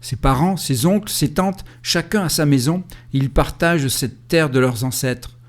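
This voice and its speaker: A man calmly telling the story of his cycling trip in the first person, with a reflective, storytelling way of speaking. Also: on the high side, a little slow. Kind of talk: first-person travel narration. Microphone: voice-over recorded separately.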